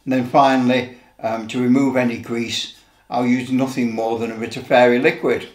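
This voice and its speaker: A man in his seventies giving an informal how-to demonstration in a halting, deliberate way, repeating phrases as he goes. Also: medium pitch, little pitch movement. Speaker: presenter of a hobby how-to video. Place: indoor office room. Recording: roomy echo, background noise and clicks.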